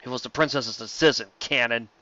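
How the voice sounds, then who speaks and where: A man voicing a male pony guard officer, authoritative and deadpan, in an audiobook story. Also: medium pitch, fairly quick, highs cut off, lightly acted.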